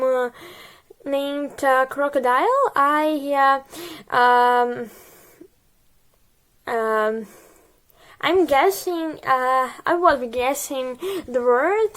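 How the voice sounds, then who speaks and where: A woman's voice: high-pitched, clear, resonant tone. A young woman from Ukraine with a Ukrainian accent, whose first language is Russian, talking in a hesitant video diary and often stopping to search for words. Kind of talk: casual personal video diary.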